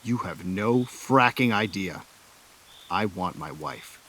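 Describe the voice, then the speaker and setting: A man's voice: low-pitched, animated, slow, a bit bright, slight background noise, exaggerated voice, low-quality recording. A man playing a hot-tempered warrior who narrates his own battles in an audio drama, intense and sardonic. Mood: cold, defiant.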